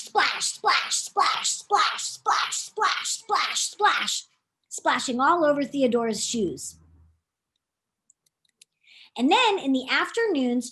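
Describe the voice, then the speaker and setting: A woman reading a children's book aloud in an expressive, playful storytime style, chatting to young listeners as she goes. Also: high voice. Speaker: author reading her own children's book.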